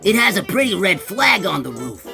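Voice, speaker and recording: A woman's voice: mid-range voice, sing-song, normal speed, vocal fry, voice pushed up, heavily acted. A woman voicing a cheerful, easygoing male bird in a storybook, talking in an eager, hopeful way. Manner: bright-hearted, eager, exclamatory. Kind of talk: storybook character voice. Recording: music behind the voice.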